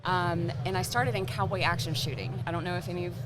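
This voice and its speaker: A woman's voice: on the low side, steady tone, fast. A woman in her thirties, a sponsored competitive shooter, talking in a casual podcast interview and laughing easily. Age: 30s. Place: convention hall booth. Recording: noisy trade show floor.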